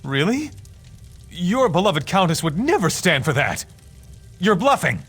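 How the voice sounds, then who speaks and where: A captured guard in a fantasy game, defiant yet easily cowed. The voice is high and rough-edged, swinging widely in pitch over background music. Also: male voice, big pitch swings, a little slow, a bit bright, full character voice. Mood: nervous, yielding. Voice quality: high, husky. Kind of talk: video game character dialogue.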